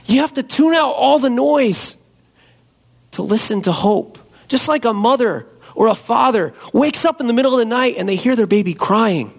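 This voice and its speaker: A hoarse man preaching a Christmas Eve sermon and leading prayer as a pastor, slow and earnest. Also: very high voice. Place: church.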